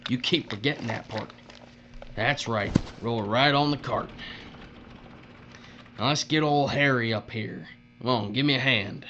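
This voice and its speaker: A man's voice: mid-range voice, measured pace, pauses often, lightly acted. Man acting cruel folksy bully, muffled hum. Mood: gloating, bossy. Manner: taunting drawl with folksy uneducated grammar. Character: cruel, leering, folksy male bully boss in a dystopia. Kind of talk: audio drama acting. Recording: muffled audio with steady mains hum.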